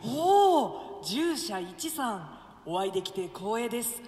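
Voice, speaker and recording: A woman's voice: on the high side, big pitch swings, measured pace, darkish tone, roomy sound, in a large hall. A woman playing a proud, battle-crying foot soldier in a comic radio play, with stiff, theatrical self-announcements. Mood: polite, eager.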